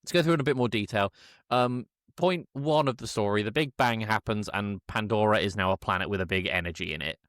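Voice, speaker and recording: A man recapping a film casually as a podcast co-host, halting and correcting himself, with an irreverent edge. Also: fairly low pitch, fairly bright tone, dry recording, clean studio sound. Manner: halting, hesitant, self-correcting delivery.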